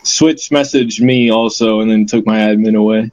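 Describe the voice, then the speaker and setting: A young man chatting casually with friends, quick and jokey, telling tall tales with a straight face. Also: on the low side, steady tone, a little mellow, dry recording. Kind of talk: casual chat among friends. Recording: muffled lo-fi mic with faint hiss.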